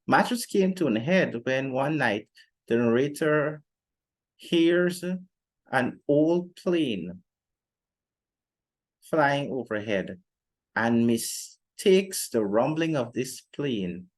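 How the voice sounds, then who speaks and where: Young adult male, medium pitch, literature-teacher type: formal, measured phrasing, explaining to camera. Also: expressive. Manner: formal, deliberate phrasing with frequent pauses. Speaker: literature teacher presenting a lesson. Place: indoor room, seated before a plain wall.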